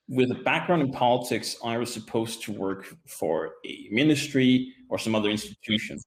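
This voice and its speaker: Friendly man from Denmark, guest speaker. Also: mid-range voice. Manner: friendly, with many hesitations. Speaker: guest speaker, social enterprise executive. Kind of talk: webinar presentation.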